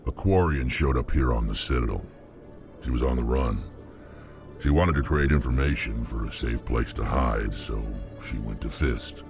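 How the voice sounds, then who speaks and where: Game alien bruiser: extremely low, heavy rasp, blunt menace, music behind. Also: male voice, full character voice. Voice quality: very deep gravelly growl. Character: huge gruff alien mercenary, menacing and blunt.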